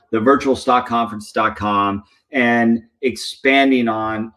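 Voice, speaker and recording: A man speaking as a chief executive in a business conference call discussion. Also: lowish voice.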